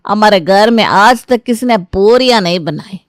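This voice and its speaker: A woman voicing an excitable older mother-in-law in a cartoon story, full of quirky exclamations. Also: mid-range voice, normal speed, no room sound, clean studio sound, lightly acted. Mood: proud, excited. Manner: exclaiming, with quirky interjections and broken grammar.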